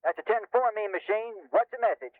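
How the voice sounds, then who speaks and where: An easygoing man on CB radio trades folksy slang. His voice sits high and comes through a thin, narrow band, in a sociable scripted role-play. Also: a little fast, dry recording. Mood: cooperative. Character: easygoing sociable male CB hobbyist. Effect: CB radio filter. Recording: tinny band-limited CB radio.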